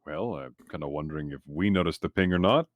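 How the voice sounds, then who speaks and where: A man playing a tabletop roleplaying game, talking casually in character with a measured, questioning manner. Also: extremely low pitch, dry recording.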